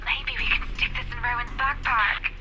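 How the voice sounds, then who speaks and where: Woman as a mocking high-voiced teen, heavy noise. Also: steady tone, normal speed, close to an everyday voice. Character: mocking teenage student prankster in a horror game. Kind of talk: video game voice acting. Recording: very noisy found-footage camcorder sound.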